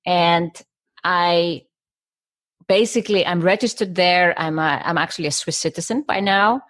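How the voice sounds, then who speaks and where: A middle-aged woman from Iceland speaks as a podcast guest in a fairly low voice. She builds her points in short stacked phrases, with the odd filler and restart. The sound is close and dry. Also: no room sound. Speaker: guest, business coach. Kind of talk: podcast interview.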